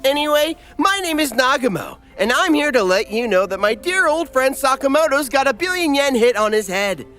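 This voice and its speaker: A man's voice: very high voice, big pitch swings, normal speed, sharp tone, soft background music, full character voice. A man playing a smug, teasing shapeshifter hitman in a comedic anime parody. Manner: teasing, flippant.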